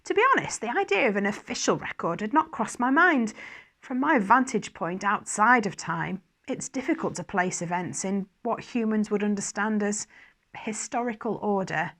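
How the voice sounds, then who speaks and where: A woman reading scripted first-person narration aloud in an expressive storytelling style. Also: medium pitch, moderate pace. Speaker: story reader.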